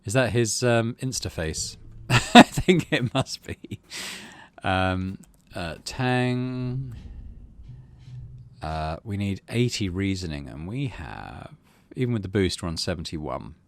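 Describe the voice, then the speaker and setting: A middle-aged man with a low voice talks through a video game in a casual way. His intonation moves around a lot, sliding from dry muttering to himself into animated reading of on-screen text. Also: slow. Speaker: gaming channel host.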